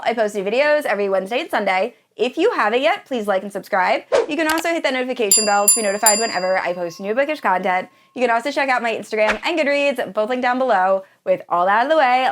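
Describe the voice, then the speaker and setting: A woman in her thirties with a fairly high voice talks casually to her viewers about books. Her delivery is enthusiastic and gushing, in the informal style of a book vlogger. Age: 30s.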